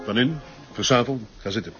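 An actor with a Flemish accent playing an impatient, bossy police chief in a radio drama. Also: male voice, medium pitch, expressive, brisk, dull audio, over loud music, natural voice.

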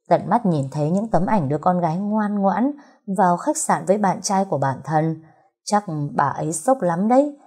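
A woman's voice: fairly low pitch, warm tone, slightly rough. A woman voicing a resentful young love rival, cold, sarcastic and taunting, in an audio story.

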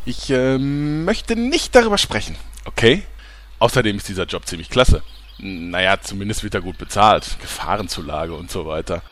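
A man's voice: fairly low pitch, sing-song, moderate pace, a bit bright. A man playing a shifty, touchy washed-up actor working as gatekeeper in a parody audio drama, blustering and defensive.

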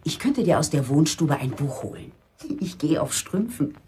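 Low-voiced, lively, nagging mother. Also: normal speed, a little hiss or hum. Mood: softened, conciliatory. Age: middle-aged. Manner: reproachful, fussing, exasperated. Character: nagging, worried mother of a teenage apprentice. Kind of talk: German radio play acting.

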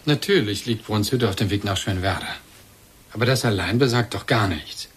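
An actor playing a suspicious husband in a radio play, deliberate and pressing, coldly accusing. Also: male voice, fairly low pitch, a bit bright, a little hiss or hum, close to an everyday voice. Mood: measured, uneasy. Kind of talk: German radio play acting.

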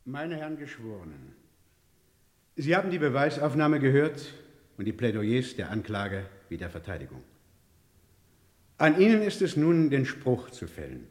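A man's voice: medium pitch, big pitch swings, moderate pace, pauses often, natural voice. Guy doing a stern formal judge, old 60s radio crime play, big echoey courtroom sound, very varied intonation. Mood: solemn. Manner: solemn, formal, declamatory. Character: grave, formal male judge instructing a jury. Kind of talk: 1960s German radio crime drama acting. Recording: old radio play, long hall reverb.

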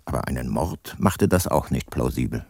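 An actor playing a brooding, probing private detective, narrating his case in a measured way in a radio crime drama. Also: a bass voice, lively intonation, a little mellow, slightly rough, dry recording, clean studio sound, exaggerated voice. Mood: brooding, reflective.

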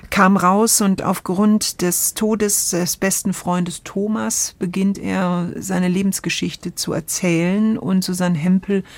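Woman podcast co-host, gravelly creak, dry close sound, talks radio drama, searching and expressive. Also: mid-range voice, slow pace, hardly any pauses, no echo.

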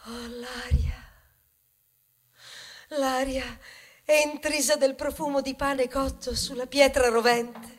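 An actress playing a proud, tender female corn cob in a poetic theatrical monologue, savouring her words with dramatic pauses. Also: high-pitched, fairly even intonation. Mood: hushed, warm. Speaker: stage actress. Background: music.

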